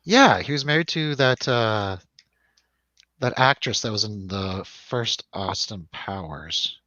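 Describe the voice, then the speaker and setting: A man's voice: fairly low pitch, animated, slow pace, bright, forceful tone, no room sound, muffled recording. A man hosting a casual film review chat, rambling and jokey, putting questions to his co-host. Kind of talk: casual chatty film discussion over drinks.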